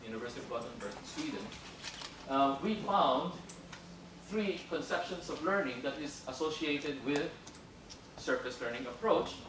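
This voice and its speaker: A man giving an academic lecture, halting and full of fillers, switching between English and Cantonese. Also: mid-range voice, expressive. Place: lecture room. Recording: echoey room, distant, noisy.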